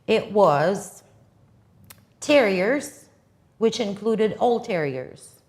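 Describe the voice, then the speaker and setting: A woman's voice: medium pitch, expressive, extremely slow, darkish tone. Emphatic woman chatting with opinions. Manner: emphatic, assertive. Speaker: talk show host and competition judge. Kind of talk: casual opinionated live chat.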